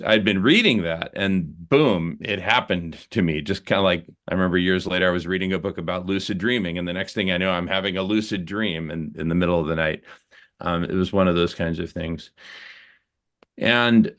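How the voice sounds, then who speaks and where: A man sharing a personal story in a group conversation, earnest and reflective, halting with fillers and restarts. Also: sits low, a bit bright.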